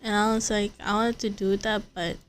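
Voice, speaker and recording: A woman's voice: medium pitch, flat delivery, moderate pace, a little hiss or hum. A woman livestreaming a video game, chatting casually and thinking aloud in rambling, repeated phrases. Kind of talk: casual livestream chat while gaming.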